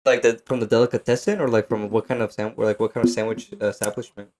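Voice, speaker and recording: A man's voice: fairly low pitch, flat delivery, fast talker, a little mellow. A young man chatting casually as a podcast co-host, often repeating himself and talking over others. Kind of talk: casual chat among friends.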